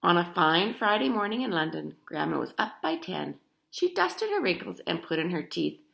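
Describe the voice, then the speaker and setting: A woman's voice: on the low side, sing-song, normal speed, creaky, a bit bright. A woman reading a children's story aloud in a theatrical, emphatic way, with chatty asides to the listener. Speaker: storybook reader.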